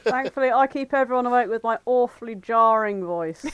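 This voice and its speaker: Dry sarcastic woman on a podcast, bit high pitched, swears a lot. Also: fairly quick, clean tone, no echo. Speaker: podcast co-host. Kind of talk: casual podcast chat.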